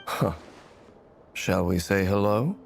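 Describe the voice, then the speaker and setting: A man's voice: fairly low pitch, expressive, slow, gravelly, faint music behind, exaggerated voice. An actor playing a solemn, reserved man in a video game, speaking formally, politely and with restraint. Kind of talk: scripted video game dialogue.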